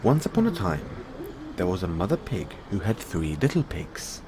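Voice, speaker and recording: A man reading a fairy tale aloud in an expressive bedtime storybook style. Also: sits low, normal speed, noisy background.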